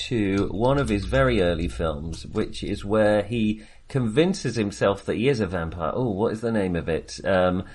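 A man with a lowish voice that swings widely in pitch. He co-hosts a casual film discussion, feeling his way through each thought with a hesitant, halting delivery. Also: animated, darkish tone, husky. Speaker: podcast co-host.

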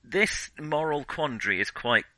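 A man's voice: on the high side, expressive, measured pace, pushed and bright, dry recording. A man co-hosting a film podcast, chatting casually and thoughtfully, with some hesitation.

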